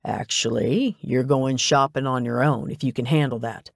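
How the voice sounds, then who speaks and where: A gruffly bossy older male detective from an audiobook , as a woman narrator plays him. He speaks low and sure of himself, handing out clipped orders. The sound is close and dry. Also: steady tone, moderate pace, dry recording, exaggerated voice. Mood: bossy, matter-of-fact. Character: brusque, self-assured older male detective, bossy mentor.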